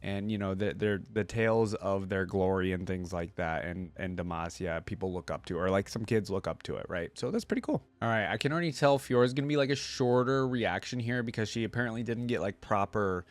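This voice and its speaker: A man's voice: lowish voice, brisk, hardly any pauses. A man hosting a reaction video with casual commentary, slangy and informal, thinking aloud as he goes.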